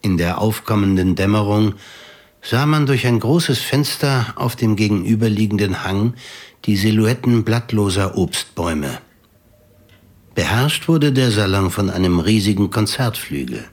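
A man tells the story as narrator of a radio drama. His voice sits fairly low, and he reads the literary text in a measured, deliberate way. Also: animated, a little mellow.

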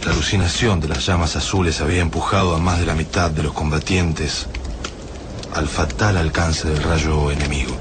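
An actor playing a surviving fighter who recounts a defeat in the first person in a radio drama. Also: male voice, low voice, background noise, natural voice. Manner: grim, reflective narrating. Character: grim surviving fighter, adult man.

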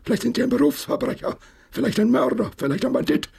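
A man voicing a grim, suspicious little old consul in a radio play, raspy and grumbling in an officious way. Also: very high voice, fast, a little mellow, voice pushed up, heavily acted. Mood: suspicious, hostile. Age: older. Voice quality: raspy, creaky. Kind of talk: acted quote within a radio drama.

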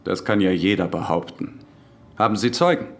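Curt, menacing police official. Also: male voice, sits low, lively intonation, moderate pace. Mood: suspicious, officious. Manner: curt, interrogating, bureaucratic. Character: brusque, menacing, officious male Nazi-era police official. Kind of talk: German radio drama acting.

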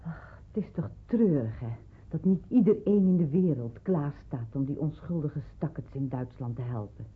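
A very breathy, rough-edged worried mother in a vintage radio drama. Her compassionate lines swing widely in pitch, and the recording is narrow as a phone line. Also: lively intonation, moderate pace, full character voice. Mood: sorrowful.

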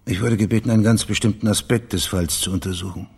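Low-voiced, sardonic private detective. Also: male voice, steady tone, fast, gravelly, darkish tone, husky, exaggerated voice. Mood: dry, inquiring. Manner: laconic, wry, probing questions. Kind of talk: German radio crime drama acting.

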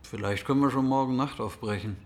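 An actor playing a practical, wary guide in a radio drama, cautious and matter-of-fact. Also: male voice, medium pitch, quick pace, lightly acted.

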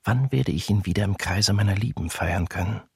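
An actor playing a homesick German soldier in his early thirties, reading his diary in a radio docudrama with husky, measured delivery. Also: lowish voice, a little mellow, no echo, clean studio sound, full character voice. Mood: wistful, weary. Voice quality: husky, gravelly.

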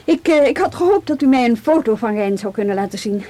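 An actress in a Dutch radio drama playing a polite, persistent juvenile police officer, tentative and earnest. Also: female voice, a little high, lively intonation, fast talker, mellow.

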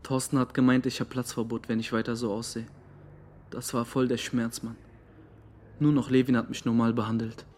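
A man's voice: mid-range voice, flat delivery, normal speed, darkish tone, slight background noise, close to an everyday voice. An actor playing a slangy, earnest young man who feels hurt and defiant, in a naturalistic radio drama. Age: young adult. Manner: slangy, earnest, plain-spoken. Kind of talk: interview monologue in a naturalistic German radio drama.

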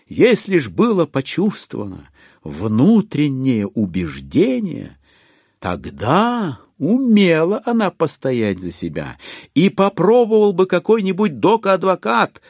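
An actor as the wry narrator of a classic novel in an audio drama, speaking with ironic relish and deliberate, expressive phrasing. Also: male voice, medium pitch, slightly rough, no room sound, studio quality, exaggerated voice.

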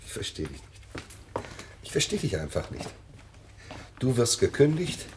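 An actor in a German radio drama playing a gruff, defensive former detective who talks in terse, grumbling phrases. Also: male voice, medium pitch, wildly swooping pitch, moderate pace, background noise. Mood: exasperated, defensive.

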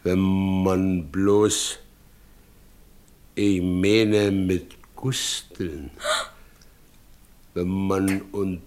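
An actor playing a brooding, wounded carter with a Silesian accent and a halting, heavy way of talking in a radio play. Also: male voice, sits low, little pitch movement, darkish tone. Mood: brooding, bitter. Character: brooding, wounded married carter, stubborn and weary. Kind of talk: naturalistic German radio play acting.

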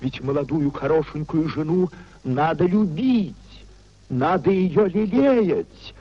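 A tormented idealistic young husband in a 1950s radio drama. His voice is high and husky, full of drawn-out theatrical repetition and despair. The old recording is dull and muffled. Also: animated. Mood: wistful resignation. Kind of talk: 1950s radio play acting.

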